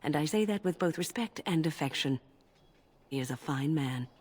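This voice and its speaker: An actress playing a composed, wise older female mage in a video game, measured and scholarly with a gently wry touch. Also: sits low, lively intonation, slightly rough.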